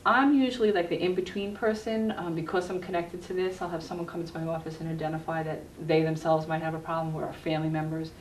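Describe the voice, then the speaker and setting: A woman's voice: sits low, fairly even intonation, fast, hardly any pauses. A middle-aged woman, an educator, explaining her work earnestly in a conversational interview as a guest. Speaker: guest, university health educator. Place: echoey room, cable TV program recording. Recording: roomy echo, distant mic, faint noise.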